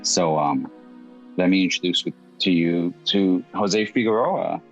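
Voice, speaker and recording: A man hosting a casual interview, introducing a guest in a friendly, halting way with stacked restarts. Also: low voice, faint music behind. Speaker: podcast host. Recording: muffled lo-fi audio.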